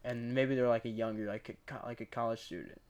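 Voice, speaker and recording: A man's voice: medium pitch, fairly even intonation, quick pace, darkish tone. A young man co-hosting a casual podcast, laid-back and chatty, dropping "like" and "bro" often. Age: young adult. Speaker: podcast co-host. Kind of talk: casual chat.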